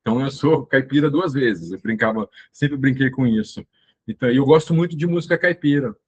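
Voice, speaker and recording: A man's voice: medium pitch, brisk, darkish tone, husky. A man in his fifties from the interior of São Paulo, hosting his channel and reminiscing in a rambling, casual monologue full of restarts. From Brazil, interior of São Paulo state. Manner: rambling, with many fillers and restarts. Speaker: channel host.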